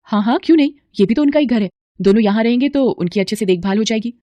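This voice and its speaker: Woman voicing an emphatic, high-pitched young wife. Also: expressive, fast talker, dark tone, dry recording, lo-fi sound, close to an everyday voice. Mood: eager. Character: young married daughter-in-law, dutiful but quick-tempered. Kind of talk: animated storybook dialogue.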